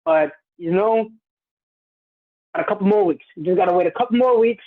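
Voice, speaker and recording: High, husky young man on a thin line. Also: fairly quick, no room sound. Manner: laughs often, teasing. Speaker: podcast co-host. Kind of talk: casual podcast chat. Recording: phone-like narrow sound.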